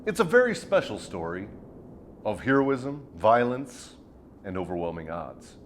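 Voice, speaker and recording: A man's voice: on the low side, big pitch swings, measured pace, a little hiss or hum. A man hosting a military storytelling show, delivering dramatic scripted tales with crude, swaggering, profane humour. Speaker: host of a military storytelling show, a first sergeant.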